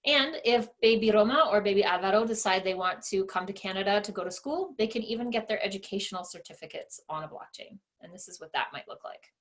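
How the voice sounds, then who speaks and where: A woman's voice: medium pitch, quick pace, hardly any pauses. A woman giving a presentation, explaining things with enthusiasm. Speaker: conference speaker. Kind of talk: presentation talk.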